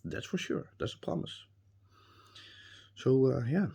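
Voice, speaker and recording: Slow, husky Dutch-accented man. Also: mid-range voice, lively intonation, dark tone. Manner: hesitant, many ums and restarts; earnest and emphatic. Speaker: reviewer. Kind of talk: spoken testimonial.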